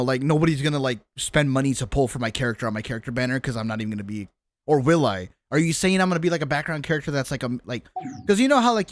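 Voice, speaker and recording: A man's voice: medium pitch, no echo. A man chatting casually with viewers while streaming a game, slangy and teasing, with some swearing. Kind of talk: casual chat with viewers during gameplay. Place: live stream at a gaming setup.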